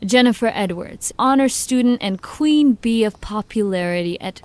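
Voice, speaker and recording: An actress playing a confident, persuasive paranormal investigator in an audio drama, with polished, theatrical, assured delivery. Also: female voice, medium pitch, normal speed, close to an everyday voice. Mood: storytelling, intrigued.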